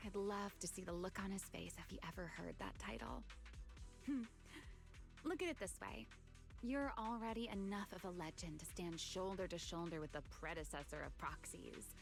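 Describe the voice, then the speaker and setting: A woman playing a composed, enigmatic fighter and mentor in an anime-style game, unhurried, playful and slightly formal. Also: fairly low pitch, vocal fry, faint music behind. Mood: gentle, amused.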